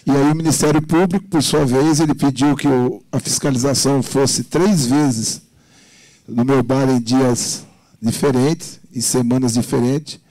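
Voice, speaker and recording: A man, a bar owner recounting his troubles haltingly at a public meeting on a handheld mic. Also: medium pitch, steady tone, mellow, dry recording, poor audio. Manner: aggrieved, halting storytelling. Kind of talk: public testimony. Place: council meeting chamber.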